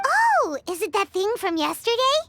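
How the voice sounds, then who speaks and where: A woman voicing a tiny, excitable fairy companion girl in a video game, with a childlike, chirpy manner. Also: very high voice, normal speed, exaggerated voice. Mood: eager, cheeky. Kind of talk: scripted game dialogue.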